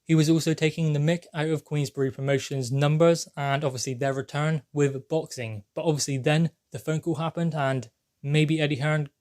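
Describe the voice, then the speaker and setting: Male sports vlogger, slightly high voice, emphatic explainer delivering news commentary. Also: fairly even intonation, normal speed, darkish tone. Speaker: boxing news channel presenter.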